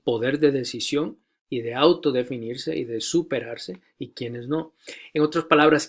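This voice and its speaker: Male lecturer, slightly high voice, deliberate classroom delivery with hesitant fillers. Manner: measured, repeats key phrases, many hesitations. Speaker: teacher of church leaders. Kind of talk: recorded lecture.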